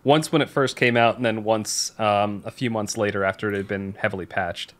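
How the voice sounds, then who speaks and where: Fairly deep-voiced man, informal podcast talk. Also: fairly even intonation, a little fast, fairly bright tone. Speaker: podcast co-host. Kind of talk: casual chat.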